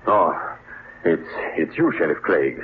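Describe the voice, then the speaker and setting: An actor playing a secretive, halting werewolf villain in a vintage radio horror drama. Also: male voice, low-pitched, sing-song, exaggerated voice. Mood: uneasy, evasive. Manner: halting, stammering, evasive. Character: nervous, evasive male villain, secretly a werewolf. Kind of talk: 1940s-50s radio horror acting. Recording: noisy, narrow-band old radio recording.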